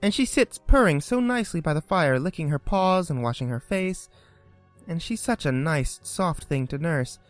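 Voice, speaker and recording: Reader putting on an eager little girl, polite, flustered, stammery, pitch all over the place. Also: animated, moderate pace, soft background music, close to an everyday voice. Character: polite, chatty young girl.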